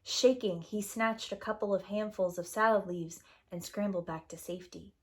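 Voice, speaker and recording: A woman reads a children's story aloud at a middle pitch. Her delivery is expressive, deliberate and dramatic, in the manner of a preschool teacher.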